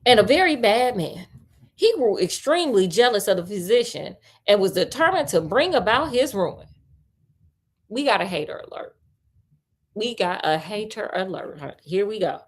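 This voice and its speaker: A woman host reading a story aloud on a livestream, breaking in with playful, colloquial asides and expressive delivery. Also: medium pitch, normal speed.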